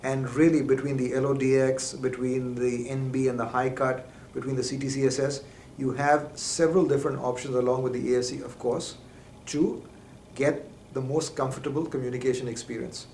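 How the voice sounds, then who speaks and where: A man's voice: mid-range voice, flat delivery, a little fast, a little mellow. A man giving a methodical, explanatory product demonstration, as a presenter. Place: indoor lab. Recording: roomy echo, faint background noise.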